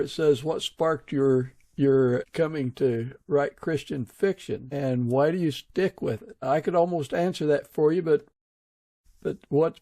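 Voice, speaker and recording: A man hosts a conversational interview at an unhurried pace. His voice is mellow with plenty of creak, and his manner is reflective and affirming. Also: fairly high pitch. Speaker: podcast host.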